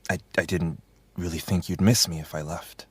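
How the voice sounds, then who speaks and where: A man's voice: low-pitched, fairly even intonation, moderate pace, slightly rough, dry recording. A young man acting in an audio drama as a twin brother, speaking hesitantly and apologetically. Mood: remorseful.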